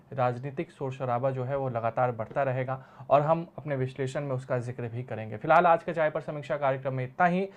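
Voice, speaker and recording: Male talk show host, slightly high voice. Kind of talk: political discussion hosting.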